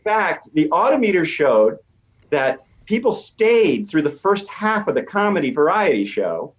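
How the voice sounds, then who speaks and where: A man's voice: a little high, big pitch swings, unhurried. A man explaining research as an academic guest in an interview, eager and emphatic, on lo-fi audio. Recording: dull, lo-fi remote audio.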